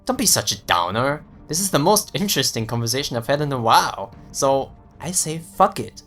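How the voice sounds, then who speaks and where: A man playing a young American slacker friend in an audio story, sarcastic, slangy and excitable. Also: medium pitch, fairly quick, soft background music. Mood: eager, persuasive. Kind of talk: dramatized audio story dialogue.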